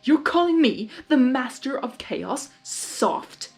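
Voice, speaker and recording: Woman as male storybook chaos villain: petulant, boastful, high-pitched, wildly swooping delivery. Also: animated, takes it slowly, darkish tone, soft background music, exaggerated voice. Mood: indignant. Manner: exasperated, melodramatic, boastful. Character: petulant, theatrical male chaos creature, self-important villain.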